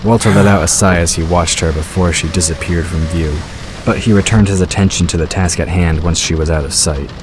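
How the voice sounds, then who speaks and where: Man, low-pitched narrator, scripted storytelling, clear rain and engine noise behind. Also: fairly even intonation, fairly quick. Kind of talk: scripted audiobook-style narration. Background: rain and vehicle sound effects.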